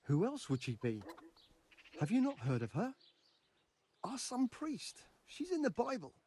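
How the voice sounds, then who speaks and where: A man's voice: extremely high pitch, wildly swooping pitch, measured pace, mellow, slightly rough, full character voice. An actor playing an eager, devout, grasping medieval craftsman in a video game, talking emphatically and persuasively. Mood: indignant, insistent. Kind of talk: video game dialogue acting.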